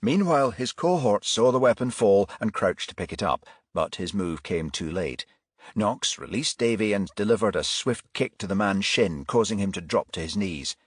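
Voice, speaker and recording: Casting: male audiobook narrator for crime fiction, lowish pitch, dry studio-like sound. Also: very animated, moderate pace, husky, dry recording. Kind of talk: scripted crime thriller narration.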